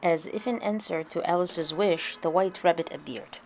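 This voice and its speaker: A woman's voice: on the low side, moderate pace, a little mellow, faint music behind. A woman narrating a children's storybook aloud as a read-along.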